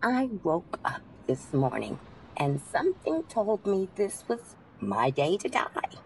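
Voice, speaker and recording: Radio drama passenger, played by a woman: gentle, eccentric, unafraid, unhurried, expressive. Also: lowish voice, gravelly, a little hiss or hum, exaggerated voice, poor audio. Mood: calm. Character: serene, eccentric, polite woman taxi passenger. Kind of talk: old-time radio drama acting.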